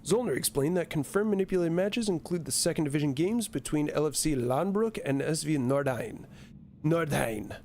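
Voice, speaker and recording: Higher-pitched man streaming over background noise. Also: darkish tone. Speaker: streamer playing a horror game. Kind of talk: casual game commentary, reading on-screen news aloud.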